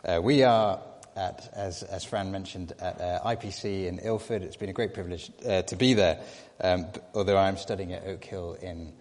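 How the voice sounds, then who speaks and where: A man's voice: sits low, fairly even intonation, normal speed, darkish tone, in a large hall. A young man giving a sermon as a trainee preacher, hesitant, with many fillers and restarts. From Scotland. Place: church.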